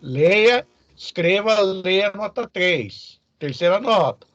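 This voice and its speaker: Male Brazilian teacher, notably high voice, didactic and informal, question tags; dull lo-fi sound. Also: animated, slow pace, fairly bright tone, no echo, soft background music. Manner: didactic, checks in with short tag questions. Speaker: programming teacher. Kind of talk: informal explanatory lecture to students.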